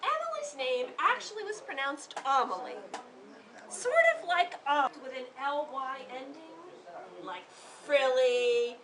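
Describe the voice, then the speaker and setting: A woman reading a children's story aloud in an expressive, theatrical way, pausing to engage her listeners. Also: high voice, a loud crowd. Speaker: author reading to a group of children. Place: room with children responding. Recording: distant microphone, noisy room.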